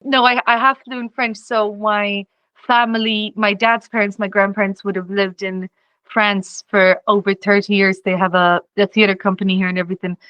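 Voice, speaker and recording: A woman's voice: mid-range voice, flat delivery, normal speed, a bit bright, no room sound, highs cut off. A young woman from Czechia, a singer-songwriter guest, talking casually in an interview, rambling with lots of "you know" and easy laughs.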